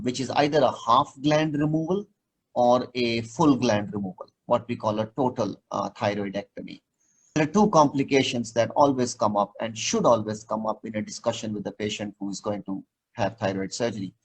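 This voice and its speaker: A man with a husky, slightly breathy voice explains surgery patiently as an interview guest. He talks in a measured, teaching way and leans on simple comparisons to make a point clear. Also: medium pitch, poor audio.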